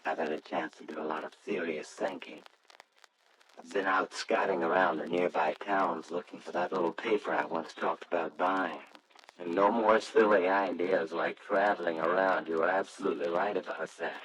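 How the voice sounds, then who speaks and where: An actor playing an earnest, kindly man in an old radio melodrama, gentle and reassuring. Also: deep voice, steady tone, normal speed, a crowd in the background, natural voice. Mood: contrite, tender. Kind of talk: old-time radio drama acting. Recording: noisy old radio recording.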